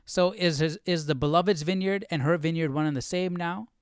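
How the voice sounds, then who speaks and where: A man's voice: on the high side, brisk, smooth, dry recording, clean studio sound. A man hosting a live Bible study, thinking aloud in casual commentary and puzzling through questions as he goes.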